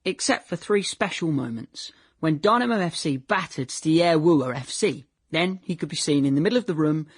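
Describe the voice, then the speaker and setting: An actor playing a naive young man in a radio drama monologue, recalling his childhood in a deadpan, chatty way, often correcting himself. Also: a little high, normal speed, husky, no room sound, clean studio sound. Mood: wry, animated. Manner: deadpan, chatty, self-correcting, trailing into "yeah".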